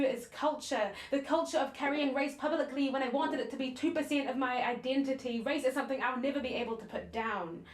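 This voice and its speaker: A young woman speaks in a high-pitched voice with a New Zealand accent. She delivers spoken-word poetry earnestly and deliberately, leaving dramatic pauses between phrases. The recording is roomy, with a clear echo around her voice. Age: young adult. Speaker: slam poet. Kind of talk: slam poetry recitation.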